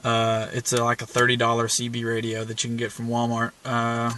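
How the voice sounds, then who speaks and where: A man's voice: mid-range voice, completely flat delivery, brisk, very noisy. A man giving a casual, rambling walkthrough from inside his car, filming with a handheld camera and talking with lots of "uh" fillers. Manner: rambling, many "uh" fillers, plain-spoken. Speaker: video maker showing his car setup.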